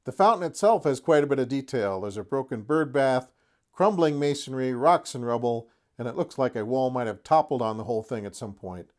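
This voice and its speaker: A man's voice: medium pitch, expressive, a little fast. A man hosting a casual tabletop product review, chatty and folksy, with lightly humorous asides. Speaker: host of a tabletop wargaming terrain review. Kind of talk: casual product showcase commentary.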